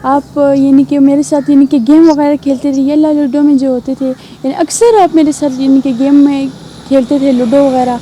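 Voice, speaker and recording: A young man voicing a polite, coaxing young woman on a phone call, in a soft girlish falsetto. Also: level tone, a little hiss or hum. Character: sweet-talking young woman caller. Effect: heard over a loudspeaker phone call.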